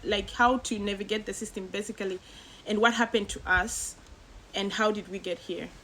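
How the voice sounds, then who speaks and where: A woman's voice: mid-range voice, steady tone, normal speed, a bit bright, slight background noise, rough recording. A woman from Zambia talking as a guest, reflecting thoughtfully and casually with a friend. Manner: thoughtful, reflective, many fillers.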